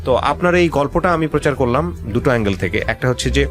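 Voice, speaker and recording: A man's voice: mid-range voice, expressive, fast talker, music in the background. A male radio host with a Bangladeshi accent, advising a guest in an insistent, questioning way and dropping in English phrases. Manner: mixes English phrases into speech. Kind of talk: advising a guest on a life-story show.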